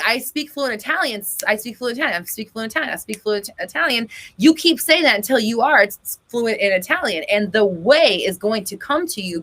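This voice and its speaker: A woman hosting a live chat Q&A, reading viewers' comments aloud and answering them, chatty and blunt, swearing freely and often breaking into gasps and high laughs. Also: fairly bright tone. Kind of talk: casual live-stream Q&A.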